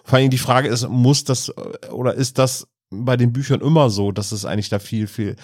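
A man's voice: mid-range voice, fairly even intonation, fairly quick, a little mellow, dry recording, studio quality. A man co-hosting a casual podcast chat, hedging his points with lots of fillers and tag questions. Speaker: podcast co-host.

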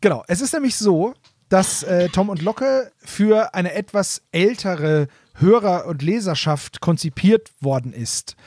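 A man with a notably high-pitched voice co-hosts a podcast. He explains things in a casual, chatty way, recorded very dry with no room sound. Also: lively intonation, a little slow, darkish tone, no echo. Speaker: podcast co-host.

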